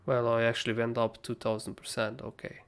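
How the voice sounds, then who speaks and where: A young man with a hoarse, raspy voice, casually talking through trading charts as a livestream host, rambling and swearing freely. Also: mid-range voice, monotone, a little fast. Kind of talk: casual live chart analysis.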